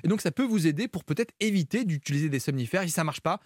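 A man speaking as the resident doctor on a radio health show, explaining sleep problems in a brisk, methodical way. His voice sits a little high for a man and moves at a fast clip. The studio sound is close and dry. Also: animated, no room sound. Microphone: studio mic.

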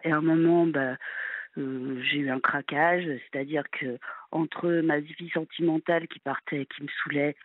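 A woman in her fifties from France calling a late-night radio show, halting and hesitant with many fillers, heard over a phone line. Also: deep voice, slightly rough, no room sound. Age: 50s. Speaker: caller.

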